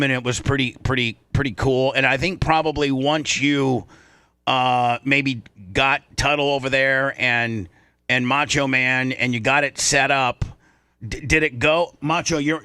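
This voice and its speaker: A man's voice: mid-range voice, fairly even intonation, measured pace, pushed and bright, clear, resonant tone, dry recording. A man hosting a radio show in casual banter, rambling and repeating phrases for emphasis.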